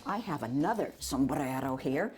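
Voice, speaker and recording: An older woman reads a children's storybook aloud in an animated, chatty way, adding asides for young listeners. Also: rumbling low, moderate pace, soft background music. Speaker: volunteer storyteller.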